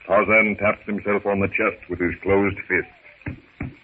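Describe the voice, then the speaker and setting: A man narrating a 1930s radio adventure serial in a dramatic, measured storytelling manner. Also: fairly low pitch, little pitch movement, a little hiss or hum. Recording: very muffled 1930s radio recording.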